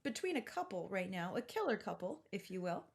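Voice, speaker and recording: A woman with an American accent co-hosting a podcast in casual banter, telling stories in an animated, playfully sarcastic way. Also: medium pitch, fairly quick, gravelly, slightly rough. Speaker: podcast co-host.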